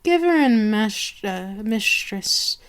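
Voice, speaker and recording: A woman voicing a haughty, commanding vampire queen in an ASMR roleplay, speaking with deliberate, condescending authority. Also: medium pitch, expressive, smooth. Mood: coolly condescending. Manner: slow, imperious, deliberate, condescending. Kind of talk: ASMR roleplay monologue to the listener.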